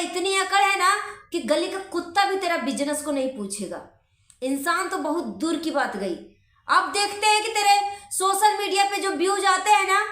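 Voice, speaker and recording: A woman's voice: very high voice, bright, forceful tone. A woman vlogger delivering an impassioned, indignant rant straight to the listener, scolding and repeating phrases for emphasis. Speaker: vlogger commenting on a social media dispute. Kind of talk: impassioned direct-address rant. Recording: echoey room.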